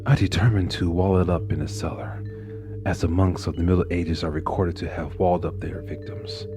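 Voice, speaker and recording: A man's voice: low voice, fairly even intonation, a little fast, over music. A man playing a tormented, brooding murderer in a theatrical Gothic horror monologue. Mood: coolly deliberate. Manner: theatrical, swings between breathy whispers and furious outbursts. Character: tormented, brooding murderer confessing with growing madness.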